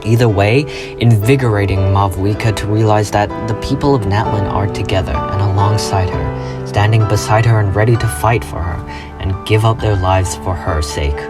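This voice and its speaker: A man with a fairly deep voice narrates a scripted video essay. He phrases his theory like a dramatic story, as a creator presenting to followers. Loud music plays behind him throughout. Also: fairly even intonation, normal speed.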